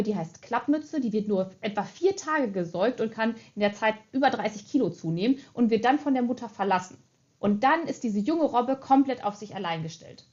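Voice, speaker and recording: Researcher guest, woman, raised pitch, explaining factually on a somewhat muffled recording. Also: darkish tone. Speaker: seal researcher, expert guest. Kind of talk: clear explanatory interview answers.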